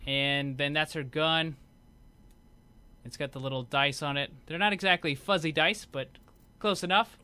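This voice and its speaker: Male gaming host, fairly high and very bright tone, informal explanatory commentary. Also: steady tone, moderate pace, long pauses, clear, resonant tone. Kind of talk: casual video game showcase commentary.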